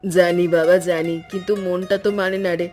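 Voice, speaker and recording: A woman's voice: on the low side, flat delivery, normal speed, a little mellow, faint music behind, natural voice. A woman voicing a worried, ailing elderly mother in a cartoon story. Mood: anxious, resigned. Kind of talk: animated story voice acting.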